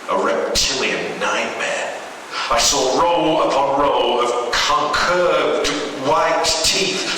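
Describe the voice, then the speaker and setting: A man reading a horror story aloud as a storyteller, in a theatrical, emphatic, drawn-out delivery, recorded in a very echoey hall from a distance. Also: on the high side, pushed and bright. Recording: very echoey hall, distant mic, faint noise.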